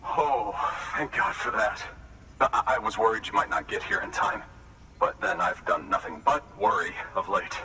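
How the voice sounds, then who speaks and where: A man's voice: fairly low pitch, lively intonation, recorded from a distance, noisy background, close to an everyday voice. An actor playing an anxious, overworked male geologist who talks in a nervous, rattled way. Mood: worried. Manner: nervous, rattled, fast-talking. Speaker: geologist character.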